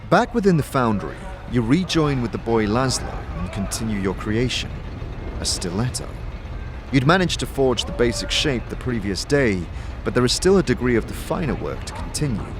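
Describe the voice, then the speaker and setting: A man's voice: mid-range voice, sing-song, normal speed, lightly acted. A man narrating a fantasy audio drama and playing its wry adventurer, in a dramatic scripted style. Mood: storytelling. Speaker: game-master narrator and player character. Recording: faint background noise.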